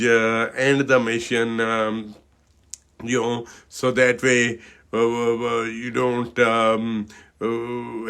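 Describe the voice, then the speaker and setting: A middle-aged man from India with an Indian accent, presenting a webinar as a financial advisor in a halting style full of fillers like "you know, okay". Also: mid-range voice, fairly bright tone.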